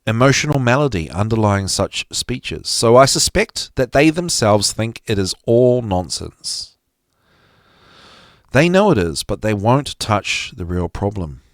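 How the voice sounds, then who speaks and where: A man giving an expressive, emphatic reading of a psychology lecture, with deliberate phrasing that drops dramatically at the ends of phrases. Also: lowish voice, dry recording, studio quality. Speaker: podcast host reading aloud from a psychology text.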